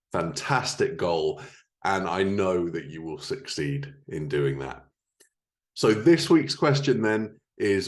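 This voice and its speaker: A man speaking as a podcast host, reading prepared updates and introductions for an audience of writers. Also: low voice. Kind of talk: scripted podcast narration and introduction.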